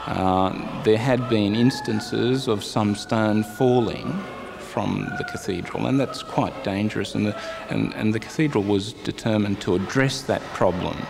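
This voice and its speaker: Creaky-voiced middle-aged man. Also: lowish voice, unhurried. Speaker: public works official. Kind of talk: interview account.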